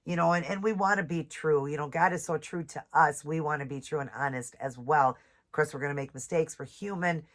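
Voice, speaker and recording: A woman with a stuffed-up voice from a cold, chatting casually and reading devotional passages slowly. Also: low voice. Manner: chatty, reads scripture slowly, apologizes often. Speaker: nail and devotional vlogger. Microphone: ring light setup, camera close.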